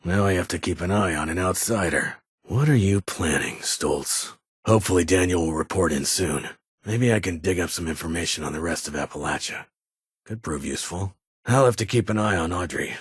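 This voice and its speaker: An actor playing a weary, formal middle-aged military security chief in a video game, speaking in a restrained, dutiful way. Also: male voice, low voice, moderate pace, husky, no echo, studio quality. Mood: businesslike. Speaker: vault chief of security, former army science advisor. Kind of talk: video game character dialogue.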